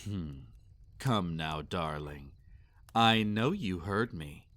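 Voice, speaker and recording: Man acting a low, slow, possessive vampire master. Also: lively intonation, a bit bright, no room sound, clean studio sound, full character voice. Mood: cold, threatening. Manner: formal, condescending, drawn-out commanding delivery. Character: sadistic possessive male vampire master, silkily menacing. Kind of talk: roleplay audio acting, intimate and theatrical.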